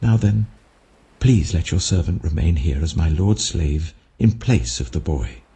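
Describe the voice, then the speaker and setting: A man's voice: low voice, lively intonation, a little slow, dark tone. A man voicing a humble, earnest brother pleading deferentially before a lord in a dramatic scripture reading. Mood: anguished pleading.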